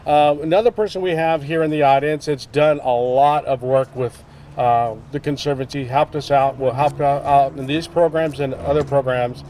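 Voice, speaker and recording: Brief: male emcee, casual and halting, outdoors with clear traffic noise. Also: medium pitch, fairly even intonation, darkish tone. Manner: casual, hesitant, with fillers. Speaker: event emcee. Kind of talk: introducing speakers at an outdoor ceremony.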